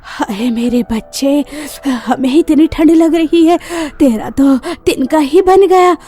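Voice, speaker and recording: A weary old grandmother, played by a woman in a cartoon tale. She speaks very slowly and mellow, drawing out her laments. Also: high voice, faint music behind. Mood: pitying. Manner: slow, drawn-out lamenting.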